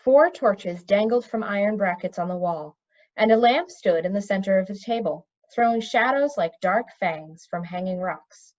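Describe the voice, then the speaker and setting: A woman narrates a children's fantasy story out loud with expression. Her voice sits at a middle pitch. The recording is dull and low in fidelity. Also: lively intonation, normal speed. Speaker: storybook reader.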